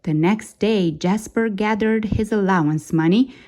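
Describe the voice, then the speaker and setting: A woman reading a children's story aloud in an expressive, dramatic way, drawing out key words for suspense. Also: mid-range voice, measured pace, rough recording.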